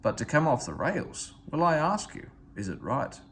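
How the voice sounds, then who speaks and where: A man's voice: mid-range voice, big pitch swings, normal speed, a bit bright, slight background noise. A man voicing a pompous, self-important steam engine in a children's story, indignant and grumbling. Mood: huffy.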